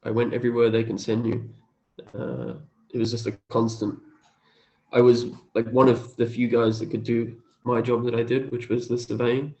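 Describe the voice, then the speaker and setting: A man's voice: medium pitch, level tone, moderate pace, mellow, highs cut off. A man, chatting in a laid-back, understated way as an interview guest. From Australia. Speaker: cafe owner and interview guest. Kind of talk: casual interview chat.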